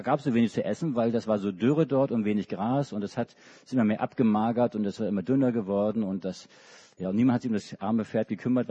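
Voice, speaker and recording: Middle-aged male preacher, animated storyteller for children, husky voice, muffled hall sound. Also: lowish voice. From Hamburg, Germany. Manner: storytelling to children, with many restarts and hesitations. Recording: dull recording in a reverberant hall.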